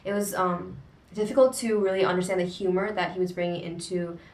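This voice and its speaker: Young woman, book-chat co-host, hesitant and thoughtful, lowish voice, off-mic in an echoey room. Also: steady tone, a little mellow, distant mic. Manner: thoughtful, hesitant, many pauses. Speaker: co-host of a book discussion channel. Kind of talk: casual book discussion.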